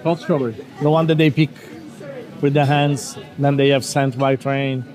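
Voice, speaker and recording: A man's voice: mid-range voice, fairly even intonation, normal speed, over music, crowd noise. A man, a magazine editor answering questions casually and hesitantly. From Italy. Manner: hesitant, many fillers and restarts. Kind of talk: casual interview.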